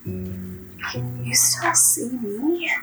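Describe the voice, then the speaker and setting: A woman's voice: sits high, huge pitch swings, very slow, over music, exaggerated voice. An actress playing a young woman lost in a nightmare, whispering breathily and repeating words as if in a trance. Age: young adult. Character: young woman possessed in a nightmare, dazed and eerie. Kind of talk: horror audio drama acting.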